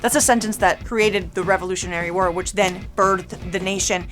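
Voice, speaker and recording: A woman's voice: medium pitch, fairly even intonation, normal speed, over music. A young woman with a Venezuelan accent hosting a comedic rant, joking and sarcastic with lots of "like". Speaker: comedy web show host.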